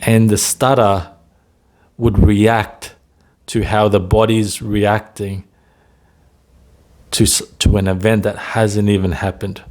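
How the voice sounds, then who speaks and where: A man who works as a stutter coach, talking to camera at a slow, deliberate pace with frequent pauses and "right?" tags. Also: lowish voice, flat delivery, a little mellow. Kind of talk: motivational talk to camera.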